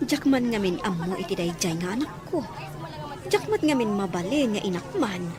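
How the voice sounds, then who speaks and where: A woman's voice: medium pitch, sing-song, normal speed, a crowd in the background, lightly acted. A woman playing an ashamed, apologetic middle-aged parent in an audio drama. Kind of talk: Filipino audio drama dialogue in Ilocano.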